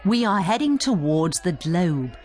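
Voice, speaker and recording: A woman giving scripted educational narration as a guide, in an instructive, expressive storytelling manner. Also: lowish voice, measured pace. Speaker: study guide narrator and tour guide.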